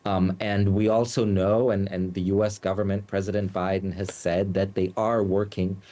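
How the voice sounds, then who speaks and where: A man from the United States, a journalist giving news interview answers, speaking haltingly with many fillers and restarts. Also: deep voice, little pitch movement, mellow. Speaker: journalist and Moscow bureau chief, guest.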